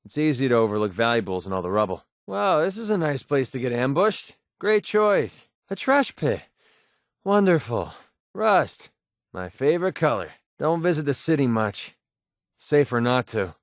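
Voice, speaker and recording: Young mercenary sidekick, cynical, casual, sardonic, medium pitch, video game dialogue, very dry recording. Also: male voice, very animated, moderate pace, dry recording. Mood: dry, sarcastic. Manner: sardonic, casual, world-weary. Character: cynical, wisecracking young male mercenary gunman. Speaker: game companion character.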